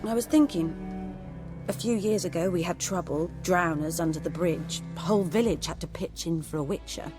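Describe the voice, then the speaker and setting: An actress playing a calm, wry female herbalist in a video game, speaking unhurriedly. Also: lowish voice, moderate pace, close to an everyday voice. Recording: game music behind.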